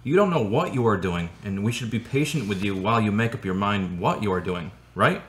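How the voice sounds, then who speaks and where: A man acts a supportive friend in a radio play. His voice is fairly low. He comes across as patient and mild, speaking in an everyday way. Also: expressive, a little fast, fairly bright tone. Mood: patient, tentative. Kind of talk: radio drama acting.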